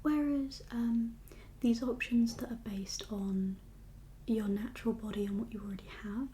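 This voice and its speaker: A woman whispers in a breathy, mellow voice, set a little high. She talks like a doctor in an ASMR roleplay, gently reassuring and a touch hesitant as she goes. A faint hiss sits behind her. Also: steady tone, moderate pace.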